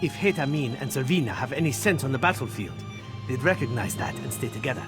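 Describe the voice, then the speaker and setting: An actor playing a gruff, sardonic military commander in a fantasy video game, speaking bluntly with folksy humor. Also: male voice, medium pitch, expressive, quick pace, close to an everyday voice. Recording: game dialogue over prominent music.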